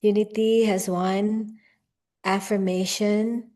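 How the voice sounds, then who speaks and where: Hoarse Filipino woman preaching slowly. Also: mid-range voice, level tone, mellow. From Philippines. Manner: slow, deliberate preaching, switching between English and Tagalog. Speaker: reverend and spiritual leader. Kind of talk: sermon.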